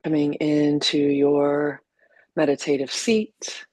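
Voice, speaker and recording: A woman teacher leading a guided meditation in a gentle, unhurried way, leaving long pauses between short phrases. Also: deep voice, little pitch movement, no room sound.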